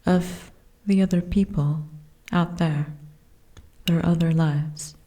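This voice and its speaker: A woman voicing a gentle, wistful young giantess princess with courtly, formal phrasing in a soft ASMR fantasy roleplay. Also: lowish voice, fairly even intonation, slow pace. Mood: kindly, shy. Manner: formal, archaic, genteel phrasing.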